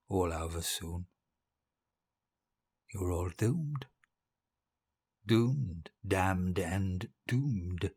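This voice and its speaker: An actor playing a dying, deranged dictator, cruel, grandiose and childish, in a theatrical audio drama monologue. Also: male voice, deep voice, slightly rough, heavily acted. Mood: gloating, ominous. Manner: theatrical, volatile, slow and deliberate, swinging from hush to bellow.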